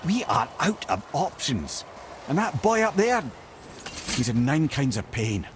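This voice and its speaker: An actor voicing a wry, worldly-wise storyteller companion in a video game, with a Scottish accent and an eloquent, sardonic manner. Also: male voice, medium pitch, sing-song, a little slow, noisy background. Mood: urgent concern. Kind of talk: dramatic video game acting.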